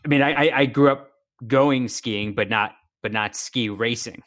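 Man, medium pitch, podcast co-host, casual questions, conversational. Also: normal speed, a bit bright.

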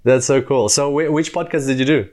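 A man's voice: medium pitch, a little fast, darkish tone. A man hosting a podcast, introducing and interviewing a guest in an enthusiastic, chatty way. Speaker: podcast host. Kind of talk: upbeat interview chat.

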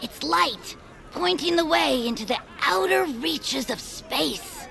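A woman playing an earnest, dreamy, loyal young adventurer with a creaky voice in a family audio drama. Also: high-pitched, expressive, slow pace, bright, forceful tone, some chatter behind, exaggerated voice. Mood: wistful wonder. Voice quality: creaky, gravelly. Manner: earnest, wistful, theatrical. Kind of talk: audio fiction character acting.

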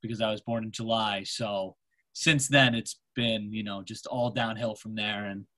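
A man in his twenties from America, hosting a casual podcast and talking in a halting way with many pauses and fillers. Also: lowish voice, steady tone, pushed and bright. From United States. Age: 20s. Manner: hesitant, lots of fillers. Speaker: podcast host.

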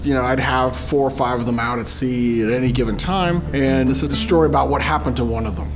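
A middle-aged man from Texas with an American accent tells his own navy story in a conversational, plain-spoken way, as an admiral on a podcast. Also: mid-range voice. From Texas, USA. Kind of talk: casual first-person storytelling for a podcast.

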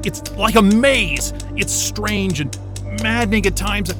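A man playing a troubled, obsessive astrophysicist unravelling alone at a polar station, intense and halting in a dramatic trailer. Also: high-pitched, over music. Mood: uneasy, pleading.

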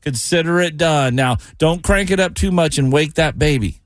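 A man's voice: on the high side, moderate pace, dry recording, clean studio sound. Upbeat morning radio host, a man. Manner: upbeat, folksy, sing-song delivery. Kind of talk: morning radio show banter.

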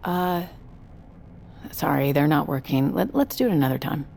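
An actress playing an evasive but accommodating therapist, hesitant and stalling, in a naturalistic audio drama. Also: female voice, deep voice, big pitch swings, normal speed, gravelly, a little mellow, slight background noise, full character voice.

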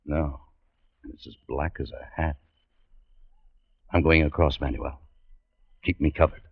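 A man's voice: very deep voice, unhurried, creaky, full character voice. A man acting a tough, wry American adventurer in a 1940s radio drama, with a laconic manner. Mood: wary. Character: tough, wry American male adventurer hunting buried gold. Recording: dull vintage 1940s radio recording.

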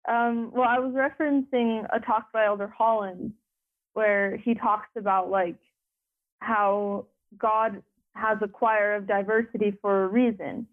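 A woman's voice: fairly even intonation, unhurried. Young woman talking over a phone line. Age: young adult. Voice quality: deeper voice. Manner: rambling, lots of filler words and restarts. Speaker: interview guest. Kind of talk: conversational interview.

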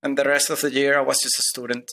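A man's voice: medium pitch, flat delivery, fast, fairly bright tone. A man in his thirties from Venezuela, a guest telling his money story with many hesitant restarts. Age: 30s. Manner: hesitant, many repeated starts. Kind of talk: personal finance interview.

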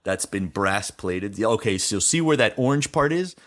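Man, middle-aged, lowish pitch, casual chat, show co-host, enthusiastic, rambling storyteller. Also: lively intonation, moderate pace, a bit bright.

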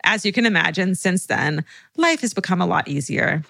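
A woman's voice: fairly low pitch, lively intonation, normal speed, gravelly, fairly bright tone, no room sound, clean studio sound. A woman co-hosting a podcast, reading listener letters and chatting expressively, laughing easily and swearing. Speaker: podcast co-host.

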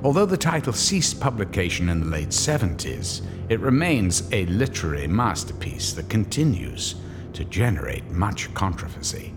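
A man's voice: sits low, big pitch swings, moderate pace. A man playing an ominous horror storyteller, narrating a radio drama dramatically with reverb and music. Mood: grave. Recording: long hall reverb, music behind.

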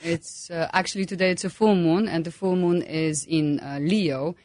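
A woman's voice: on the low side, steady tone, moderate pace, darkish tone, no echo, clean studio sound. A woman from Poland with a Polish accent, speaking as an astrologer guest with many hesitations and fillers. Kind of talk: interview guest.

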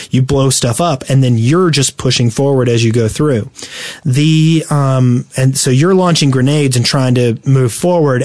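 A man co-hosting a casual gaming podcast, explaining tactics in a halting, instructional way. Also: medium pitch, darkish tone, no room sound. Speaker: podcast host.

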